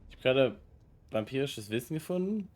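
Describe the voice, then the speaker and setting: A man's voice: mid-range voice, animated, a little fast, noisy background. A man giving casual live-stream gameplay commentary, muttering reactions to himself and repeating words. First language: German. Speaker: video game streamer. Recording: game music underneath.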